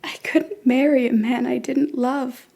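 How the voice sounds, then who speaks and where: High, breathy young woman, soft and grieving, for a period audio drama played by an actress. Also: steady tone, unhurried, exaggerated voice. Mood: quiet, tender. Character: Amy, tender grieving young woman in love. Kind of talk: audio play acting.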